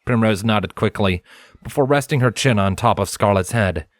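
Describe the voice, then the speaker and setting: Low-voiced man narrating a story. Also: normal speed, dry recording. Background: soft music.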